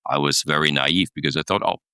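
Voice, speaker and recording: Very deep gravelly man. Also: fairly even intonation, fast, pushed and bright, dry recording, clean studio sound. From Germany. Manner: thoughtful, many restarts, chuckles while telling stories. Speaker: guest, executive coach. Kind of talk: podcast interview, reflective storytelling.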